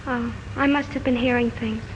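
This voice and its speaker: A woman's voice: a little high, fairly even intonation, lightly acted. An actress playing a sassy young woman, the detective's fiancée and sidekick, in a 1940s radio drama. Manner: sassy, quick with comebacks. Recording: dull old radio recording with faint hiss.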